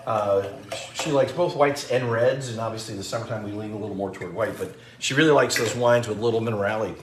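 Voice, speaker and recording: A man's voice: fairly low pitch, fast talker. A middle-aged man hosting a casual live cooking demo, chatting and greeting viewers by name as he works. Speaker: cooking show host. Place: home kitchen. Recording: echoey kitchen with faint noise.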